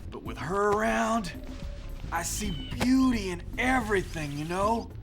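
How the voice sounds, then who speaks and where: A spacey, laid-back sculptor drawls slowly with a sing-song lilt. Clear game music plays underneath. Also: male voice, sits high, very animated, full character voice. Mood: dreamy. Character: laid-back male sculptor, dreamy surfer-dude type. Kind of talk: video game cutscene acting.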